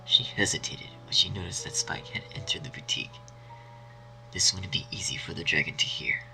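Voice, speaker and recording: Deep gravelly male story narrator. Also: steady tone, fairly quick, pushed and bright, poor audio. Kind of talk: dramatic scripted story narration. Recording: faint music behind.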